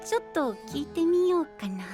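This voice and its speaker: Sweet boy hero for anime audio drama, very high register, gentle and eager. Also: big pitch swings, a little fast, over music. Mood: surprised, eager. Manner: childlike, soft, earnest. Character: sweet, innocent, eager young boy knight.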